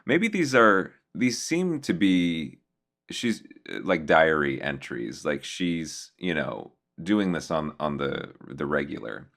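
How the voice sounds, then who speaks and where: A man giving casual reaction commentary as a host, musing aloud in a halting way. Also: very deep voice, very animated, gravelly, a bit bright. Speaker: host reacting to horror videos.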